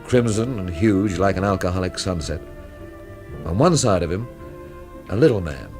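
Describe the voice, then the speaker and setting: A wry investigator in a radio mystery, with a lowish, very lively voice and a dry literary turn of phrase. Music plays behind him. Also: male voice. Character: dry, ironical male investigator narrating his own mystery. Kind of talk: radio drama acting and first-person narration.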